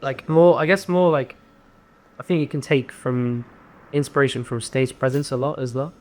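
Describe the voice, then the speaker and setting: A man's voice: mid-range voice, fairly even intonation, normal speed. A young man from Devon, a band singer, talking casually in an interview. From Devon, England. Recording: ambient room noise behind.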